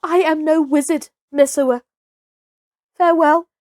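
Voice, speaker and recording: A woman's voice: sits high, fairly even intonation, a little slow, a little mellow, no room sound, clean studio sound, pitched above the performer's own voice. A woman voicing a bold, scornful young herdsboy raised by wolves, with archaic, commanding speech in a storybook reading. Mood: urgent.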